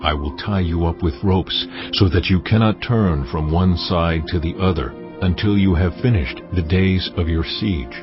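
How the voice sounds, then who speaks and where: A solemn, commanding deity in a scripture audio drama. The voice is very low, stern and deliberate, full of authority. Music plays softly beneath, and the sound is slightly dulled. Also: male voice, dull audio. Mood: solemn instruction. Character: God, solemn commanding deity instructing a prophet.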